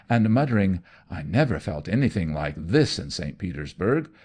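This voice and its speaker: A man's voice: low-pitched, vocal fry, a little mellow. A man reading gothic fiction aloud in a dramatic, theatrical, suspenseful storytelling manner. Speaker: audiobook narrator.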